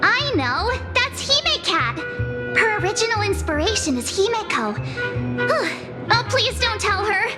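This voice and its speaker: A very high, bubbly girl adventurer. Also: measured pace, heavily acted. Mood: excited. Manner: perky, expressive, exclamatory. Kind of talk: anime-style game voice acting. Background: loud music.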